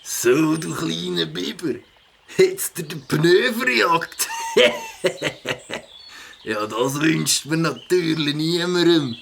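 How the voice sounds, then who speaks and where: An actor with a Swiss German accent playing a gruff, mocking, boastful moped rider in a children's audio drama, with a gravelly, sneering drawl. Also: male voice, fairly high pitch, animated, drawn-out words, a bit bright, slight background noise, full character voice.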